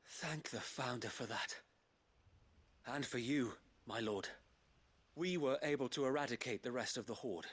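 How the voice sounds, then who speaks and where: A man's voice: medium pitch, unhurried, fairly bright tone, husky. A man voicing a loyal knight in a game, formal, earnest and deferential. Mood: weary relief. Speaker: video game character. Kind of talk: dramatic game voice acting. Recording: faint game music behind. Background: game music.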